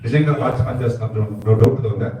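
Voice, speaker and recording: A man's voice: mid-range voice, fast talker, dark tone, faint music behind. A man presenting to children as a ventriloquist, chatting informally and bantering with repeated questions. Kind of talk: informal stage talk to children. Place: church hall. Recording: echoey hall, distant microphone.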